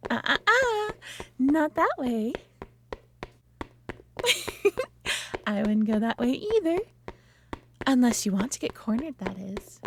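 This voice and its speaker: Woman playing a slow-talking, possessive, condescending alien mistress. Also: high-pitched, very animated, noisy background, exaggerated voice. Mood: playful, teasing. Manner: condescending, coaxing, slow and deliberate, dropping into breathy whispers. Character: prideful, possessive female superior alien being, condescendingly caring. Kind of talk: scripted roleplay audio drama addressed to the listener.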